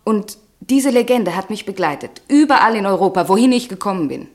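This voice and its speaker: An actress playing a poor young American woman taken for an heiress, speaking with composed, gently teasing wryness in a radio drama. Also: medium pitch, expressive, fairly quick, lightly acted. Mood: wry. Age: young adult.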